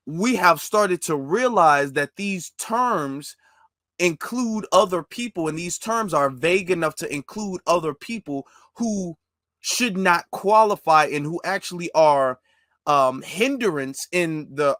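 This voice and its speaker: A man's voice: on the high side, expressive, slow, fairly bright tone, no echo. A man talks things through with his audience in an emphatic, conversational way, often restarting his sentences. The recording is dry and close, with almost no room sound. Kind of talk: casual discussion.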